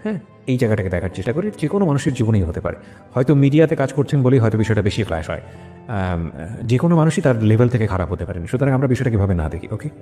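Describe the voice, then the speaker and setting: A man with a Bangladeshi accent hosting an interview in a measured way, mixing English phrases into his speech. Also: medium pitch, very animated, warm tone. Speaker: host. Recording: long reverb, music behind. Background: music.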